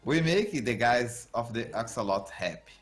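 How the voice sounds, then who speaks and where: A man's voice: medium pitch, expressive, normal speed, soft background music. A man co-hosting a game live stream, chiming in with brief joking remarks. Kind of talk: casual game tutorial chat.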